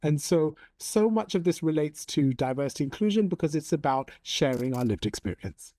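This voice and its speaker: Forties guy from hong kong, mellow and kinda high, thoughtful, dry sound. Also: lively intonation, dry recording. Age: 40s. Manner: measured, thoughtful, many ums and restarts. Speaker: interview guest, storytelling coach. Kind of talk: conversational interview.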